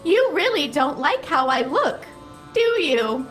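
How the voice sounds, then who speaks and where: A woman voicing a dreamy, literal-minded female AI computer, speaking slowly and flatly in a comedic audio drama. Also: sits high, soft background music, exaggerated voice. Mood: deadpan. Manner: slow, flat, oddly literal delivery.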